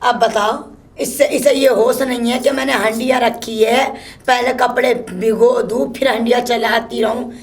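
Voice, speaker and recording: A woman playing a shrill, cursing old mother-in-law who keeps scolding her daughter-in-law. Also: steady tone, measured pace. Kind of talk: comic one-woman sketch.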